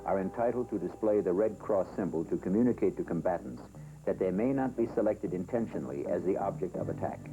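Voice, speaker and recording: An American man reading scripted training film narration in a formal, measured, solemn manner. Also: low-pitched, lively intonation, low-quality recording. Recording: 1970s film soundtrack with music. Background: background music.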